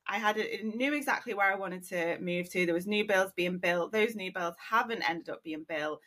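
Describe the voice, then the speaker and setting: A woman in her thirties co-hosting a podcast, telling stories in an animated, casual way. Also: mid-range voice, normal speed. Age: 30s. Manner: animated storyteller, laughs easily. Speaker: podcast co-host. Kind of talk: casual chat.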